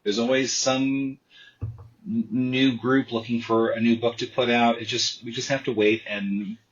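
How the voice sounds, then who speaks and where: A man's voice: medium pitch, a little slow, highs cut off. A man, a comic artist, telling the story of his book in a casual interview, stumbling over restarts as he talks. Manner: repetitive restarts, animated storytelling.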